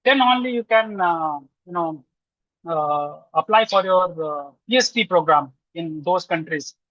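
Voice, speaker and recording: A man from Lucknow with an Indian English accent, answering questions as a guest researcher with many pauses and restarts. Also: fairly high pitch, animated, dry recording. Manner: frequent "uh" pauses and restarts, reasoning out loud. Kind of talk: webinar answers, hesitant and filler-heavy. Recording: dull, dry video call audio.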